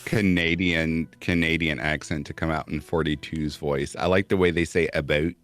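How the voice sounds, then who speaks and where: A man's voice: rumbling low, steady tone, normal speed, a bit bright. A man from Kentucky in casual chat, reading out viewer messages and laughing easily. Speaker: stream guest reading chat.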